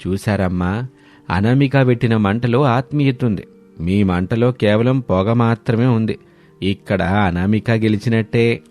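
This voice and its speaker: A man voicing a kindly older father-in-law, affectionate and playfully blunt, with slow, emphatic delivery, in a story. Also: on the low side, darkish tone, close to an everyday voice. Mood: approving. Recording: studio voice over background music.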